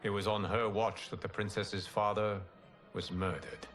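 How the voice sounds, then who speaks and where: Game cinematic: male actor as wise guardian god, low voice, diplomatic and measured, clear musical score underneath. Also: flat delivery, music in the background, close to an everyday voice. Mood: calm counsel. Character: calm, wise male god, protector and mentor. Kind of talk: cinematic video game acting.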